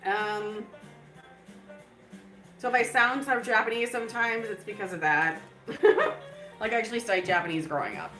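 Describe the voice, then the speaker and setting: A woman's voice: medium pitch, lively intonation, moderate pace, long pauses, a bit bright, echoey room, faint music behind, poor audio. A woman hosting a live stream, talking in a loose, rambling way full of fillers. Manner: breaks into laughter. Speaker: livestream host.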